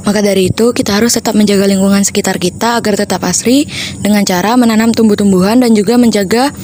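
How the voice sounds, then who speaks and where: Teenage girl, mellow tone, earnest school podcast presenter, faint background noise. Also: mid-range voice. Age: teens. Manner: earnest, informative, explaining history. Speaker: student podcast member.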